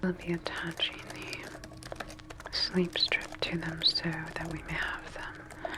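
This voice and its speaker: A woman playing a calm, sinister sleep clinic scientist in a whispered ASMR horror roleplay, speaking with clinical, measured detachment. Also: low-pitched, poor audio. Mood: clinical, quietly sinister. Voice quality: breathy whisper.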